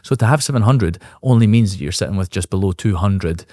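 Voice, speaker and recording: A man in his thirties speaks as a guest in a casual business interview, quick and upbeat, often restarting his sentences as he thinks aloud. His voice sits a little low and moves along at a brisk pace. The sound is dry and close, with no room around it. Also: mellow, no room sound. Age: 30s. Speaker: guest, real estate brokerage owner.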